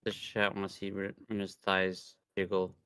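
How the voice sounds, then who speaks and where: Low-voiced joking man in casual chat. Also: monotone, normal speed, bright, forceful tone, clean tone, no echo. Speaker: livestream co-host.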